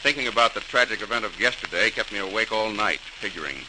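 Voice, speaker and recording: An actor playing a determined engineer in 1940s radio style, intense and earnest. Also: male voice, on the low side, fast talker, close to an everyday voice. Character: earnest, determined young male immigrant engineer. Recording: noisy old radio transcription.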